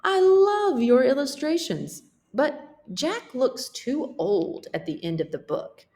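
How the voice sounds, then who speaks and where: A woman's voice: high-pitched, huge pitch swings, takes it slowly, a little mellow, pitched above the performer's own voice, heavily acted. A woman voicing a picky female book editor in a children's picture book read-aloud. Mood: brisk, critical.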